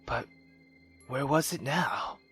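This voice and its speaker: A man doing excitable, slangy let's play commentary and dramatic read-aloud narration of a visual novel. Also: mid-range voice, slow, soft background music. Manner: theatrical, slangy, exclamatory.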